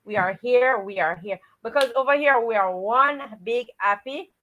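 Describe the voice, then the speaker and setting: A woman with a fairly high voice co-hosts a children's livestream. She greets viewers as they join, often repeating the same stock phrases. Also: moderate pace, bright, forceful tone, highs cut off, slight background noise.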